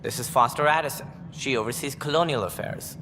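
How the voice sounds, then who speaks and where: An actor playing a formal alien director of a space colony in a video game. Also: male voice, mid-range voice, brisk, lightly acted. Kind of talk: video game cutscene acting. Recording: reverberant hall with music behind.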